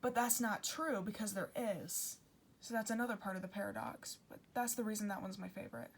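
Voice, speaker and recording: A woman's voice: mid-range voice, fairly quick, background noise. A woman giving informal explainer commentary in an earnest, conversational way, often correcting herself. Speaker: video creator and commentator.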